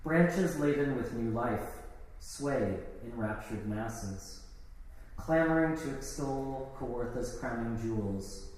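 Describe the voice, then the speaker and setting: A man's voice: medium pitch, animated, takes it slowly, a little mellow. Man reading poetry in an echoey hall. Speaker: poet reading own work. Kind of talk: live poetry reading with casual introductions. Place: live event in an art gallery. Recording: echoey, noisy hall, distant microphone.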